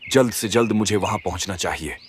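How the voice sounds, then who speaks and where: A man voicing an aging lion king in a cartoon fable, trusting and dignified, speaking in a measured, earnest way. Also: lowish voice, a little hiss or hum, lightly acted. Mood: grave. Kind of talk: Hindi animated moral story dialogue.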